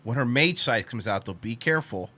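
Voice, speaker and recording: A man's voice: mid-range voice, animated, brisk, a crowd in the background. A man co-hosting an online show, offering casual joking commentary with wry, sardonic asides.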